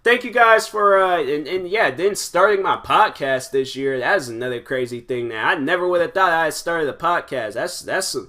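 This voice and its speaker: A man's voice: on the high side, normal speed, few pauses. A man in his twenties hosting his own podcast, talking casually and rambling with lots of fillers. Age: 20s. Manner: rambling, animated, many fillers. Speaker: podcast host. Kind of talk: casual solo podcast chat.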